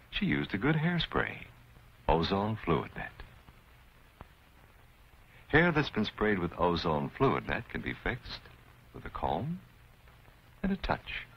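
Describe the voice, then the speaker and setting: Deep, swooping male vintage ad announcer. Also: wildly swooping pitch, slow, vocal fry, pauses often, breathy edge. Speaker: commercial announcer. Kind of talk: vintage hairspray advertisement voice-over. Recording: dull vintage commercial with mallet music.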